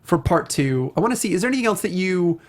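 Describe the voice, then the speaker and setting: A man's voice: high-pitched, lively intonation, fast. A man hosting a casual discussion show, speaking in an enthusiastic, effusive way. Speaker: talk show host. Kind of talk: casual film and video game discussion.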